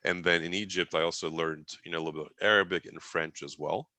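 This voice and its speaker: A man's voice: low-pitched, fairly even intonation, fast talker, sharp tone, no echo. A man in his forties from Turkey, Turkish his first language, recounting his life in a rambling, conversational way with many restarts. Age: 40s. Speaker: guest. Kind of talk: casual interview talk.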